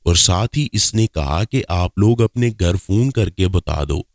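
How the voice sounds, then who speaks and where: A man's voice: deep voice, expressive, unhurried, no echo, clean studio sound. A man reading a scripted first-person story as its narrator.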